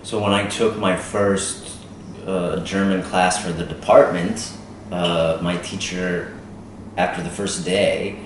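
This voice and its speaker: An American man chatting casually as an interview guest, telling his story in an informal, halting way. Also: low-pitched, fairly even intonation. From United States. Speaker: interview guest, language lecturer. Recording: roomy, distant mic, noisy background.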